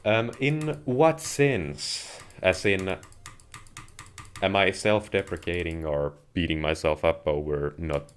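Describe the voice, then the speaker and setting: A man with a Finnish accent chats to viewers in measured, slightly wordy phrasing while livestreaming a video game. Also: sits low. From Finland. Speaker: live streamer playing a video game. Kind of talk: casual livestream gaming commentary, chatting with viewers. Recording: noisy home stream with keyboard clicks. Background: keyboard and mouse clicking.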